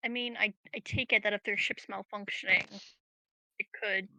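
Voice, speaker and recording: A woman's voice: medium pitch, fairly even intonation, moderate pace, bright, forceful tone, no room sound, rough recording. A woman chatting casually as a player in a tabletop game. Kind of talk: casual chat.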